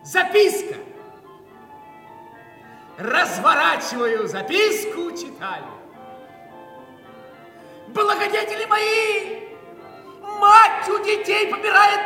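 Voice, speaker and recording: Echoey, very high-pitched, anguished poor man. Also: expressive, takes it slowly, long pauses, heavily acted. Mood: pleading. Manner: declamatory, impassioned, swinging from hushed to shouting. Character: anguished poor man bewailing a starving beggar child. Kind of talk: theatrical dramatic monologue in an audio play. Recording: echoey hall, distant mic, music behind.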